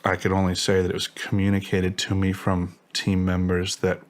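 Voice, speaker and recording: Very low-voiced man giving assertive testimony. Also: completely flat delivery, moderate pace. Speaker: interview guest, former contractor. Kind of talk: interview testimony.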